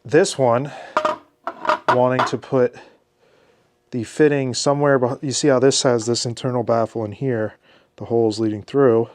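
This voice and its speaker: A man's voice: mid-range voice, fairly even intonation, normal speed, pauses often, slight background noise. A congested man with a head cold narrating his car build in a cluttered garage, laid-back and thinking aloud with restarts. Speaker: car builder filming his shop project. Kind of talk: casual how-to narration. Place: cluttered home garage shop.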